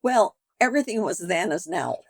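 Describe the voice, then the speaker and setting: A woman's voice: lowish voice, animated, moderate pace, no echo, clean studio sound. A woman in her seventies, a boutique owner, chatting animatedly in an interview and acting out conversations with customers. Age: 70s.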